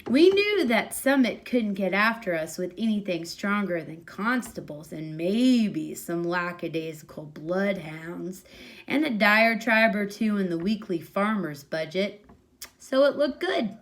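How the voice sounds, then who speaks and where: A woman reading a short story aloud as expressive narration, with a medium-pitched voice. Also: unhurried. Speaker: story reader.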